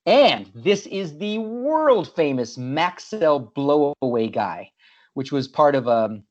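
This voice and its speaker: A man's voice: a little high, sing-song, slow pace, a little mellow, dull audio. Friendly man repeating himself on a call. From California, USA. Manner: friendly, with many restarts and repeated words. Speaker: guest speaker. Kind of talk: casual presentation. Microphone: video call.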